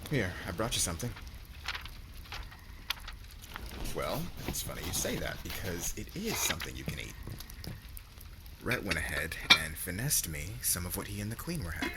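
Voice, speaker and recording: A man playing a cocky, affectionate young warrior husband in an intimate ASMR roleplay, teasing casually with murmured endearments and a gravelly voice. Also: deep voice, heavy background noise, heavily acted.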